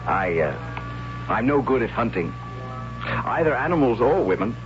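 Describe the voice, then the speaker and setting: A man's voice: medium pitch, big pitch swings, normal speed, dull audio, natural voice. An actor playing a simple, cheerful but inept young man, hesitant and artless, in a 1970s radio drama. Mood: wistful, artless. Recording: band-limited old radio broadcast, loud music bed.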